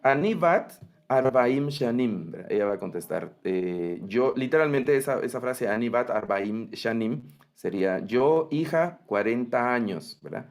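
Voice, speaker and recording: A man's voice: medium pitch, animated, a little slow. A man with a Latin American Spanish accent teaching a Bible language class online, explaining patiently and often checking in with his students. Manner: patient, explanatory, frequent "¿verdad?" check-ins.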